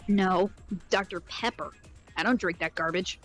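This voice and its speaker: A woman's voice: lowish voice, normal speed, soft background music. A woman chatting casually with friends during a video game playthrough. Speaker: voice-reader in a group game playthrough. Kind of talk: casual banter.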